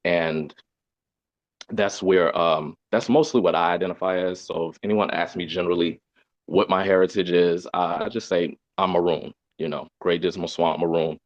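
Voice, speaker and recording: Man, very low voice, earnest explaining, fillers, dull muffled video call audio, dry. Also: fairly even intonation, normal speed, no room sound. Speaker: community discussion participant. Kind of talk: casual discussion on a video call.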